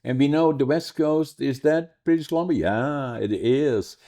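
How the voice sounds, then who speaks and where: A man's voice: fairly high pitch, unhurried, mellow. A man, hosting a podcast and telling stories in a rambling way. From Netherlands. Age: 80s. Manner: rambling, storytelling, self-correcting. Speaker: podcast host. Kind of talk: casual interview chat.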